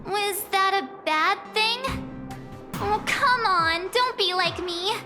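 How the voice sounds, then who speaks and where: A woman's voice: very high-pitched, slow. A woman voicing a small, cute, timid furry assistant creature in a game, with a cutesy, plaintive whine. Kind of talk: game voice acting. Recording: music playing behind.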